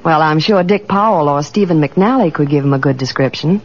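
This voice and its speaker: A woman's voice: sits low, brisk. A woman delivering scripted advertising dialogue in the style of 1940s radio. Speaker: commercial spokeswoman. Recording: muffled old radio recording.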